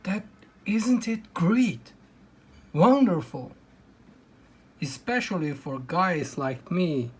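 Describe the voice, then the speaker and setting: Brief: young man, Kazakh native, hesitant non-native English, unhurried and slightly high. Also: animated, slightly rough. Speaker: hobbyist electronics presenter. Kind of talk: hands-on electronics demo.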